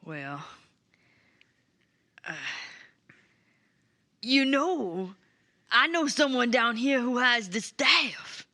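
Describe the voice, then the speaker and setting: A woman's voice: on the high side, animated, extremely slow, pauses often, pushed and bright, heavily acted. A woman voicing an earnest, folksy adventurer in a tabletop game, speaking in simple, hesitant phrases with broken grammar. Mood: anxious, soothing.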